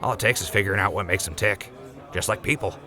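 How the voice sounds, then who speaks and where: A laid-back young rancher chats in a fairly low but bright, forward voice, as a man plays him. He talks quickly and jokes easily, in a fan audio drama. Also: some chatter behind. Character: easygoing young male wasteland rancher.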